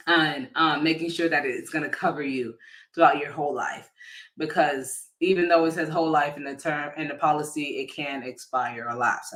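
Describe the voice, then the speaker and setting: A man working as an insurance agent, explaining policies casually on a livestream, often pausing with fillers and restarting his sentences. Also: a little high, fairly bright tone. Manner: hesitant, many fillers and restarts; addresses viewers informally.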